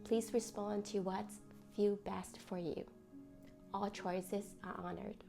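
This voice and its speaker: A woman leading a guided meditation in a gentle, deliberate, reassuring manner, reading as a host from a script. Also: medium pitch, fairly even intonation, gravelly. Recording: music under the voice. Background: music.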